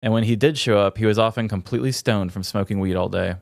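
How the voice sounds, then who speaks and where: A man's voice: on the low side, fast, vocal fry, dry recording, clean studio sound. A man co-hosting a comedy podcast in a conversational, jokey way. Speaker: podcast co-host. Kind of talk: casual comedic chat, sometimes reading aloud.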